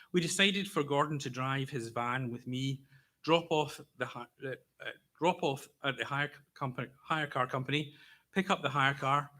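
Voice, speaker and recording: A Scottish man in his fifties giving a prepared eulogy for his brother, speaking slowly and carefully with a wry, gently humorous storytelling manner. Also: mid-range voice. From Scotland. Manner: deliberately slow, careful delivery. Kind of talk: prepared memorial speech.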